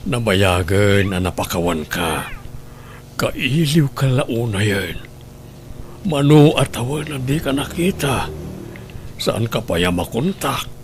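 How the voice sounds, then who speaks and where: An actor playing a gentle, forgiving husband, soft-spoken and emotional, in an audio drama. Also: male voice, mid-range voice, sing-song, slow pace, pauses often, a little hiss or hum. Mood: tender. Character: gentle, forgiving former husband, astonished new father. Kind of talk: Ilocano audio drama acting.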